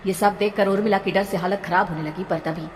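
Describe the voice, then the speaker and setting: A woman's voice: mid-range voice, steady tone, fairly quick, slight background noise. A woman narrating a scripted horror story in a dramatic storytelling manner. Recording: reverberant, with background music.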